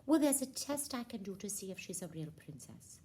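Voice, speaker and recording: A woman's voice: medium pitch, sing-song, a little fast, warm tone, close to an everyday voice. Woman putting on a bossy, fussy, swooping queen mother. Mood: self-assured. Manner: regal fussing, emphatic, self-assured. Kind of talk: puppet show for children.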